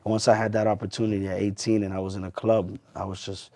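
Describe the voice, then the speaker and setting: A man in a casual interview telling his story as a club hype man, animated and slangy, often repeating phrases. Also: fairly low pitch, fast. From US, Lawrence Massachusetts. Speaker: guest, hype man and promoter.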